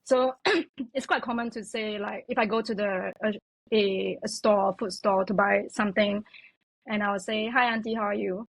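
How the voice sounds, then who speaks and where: Husky-voiced chatty woman guest. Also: medium pitch, steady tone, normal speed, no room sound, rough recording. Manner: chatty, many fillers like "like" and "you know". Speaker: podcast guest, communications consultant. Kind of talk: casual interview chat.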